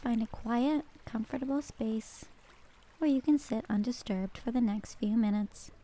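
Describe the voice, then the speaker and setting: A woman narrating a scripted guided meditation in a calm, gentle, measured manner. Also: a little high.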